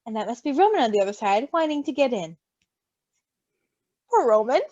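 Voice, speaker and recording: A woman reading a story aloud in an expressive, gushing, giggly way, with amused asides. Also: a little high, fairly quick, no room sound. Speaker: fan fiction reader. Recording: dull lo-fi recording.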